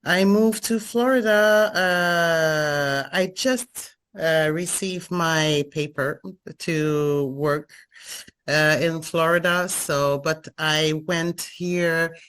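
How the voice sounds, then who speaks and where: A woman with a French Canadian accent speaks at a very slow, careful pace, her voice sitting a little low. She hesitates often, filling the gaps with small fillers while she reaches for English words. It sounds like a casual introduction on a group video call. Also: a bit bright. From Montreal, Canada. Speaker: remodeling franchise owner, meeting participant.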